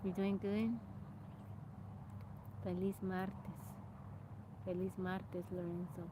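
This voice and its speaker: A woman's voice: lowish voice, slow, noisy background. Woman livestreaming from a farm on her phone. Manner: animated storyteller, laughs often, thanks viewers by name. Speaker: live streamer. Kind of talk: casual livestream storytelling and chat. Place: outdoors on a farm.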